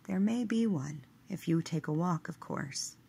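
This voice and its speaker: A woman leading a scripted guided meditation in a measured, hushed manner, letting her phrase endings trail away. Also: on the low side, lively intonation, gravelly, husky.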